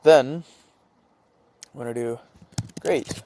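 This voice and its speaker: A man presenting an informal screen-share tutorial, talking haltingly as he thinks aloud and reads out what he types. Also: medium pitch, darkish tone, slight background noise. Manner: halting, thinking aloud while typing and reading out script.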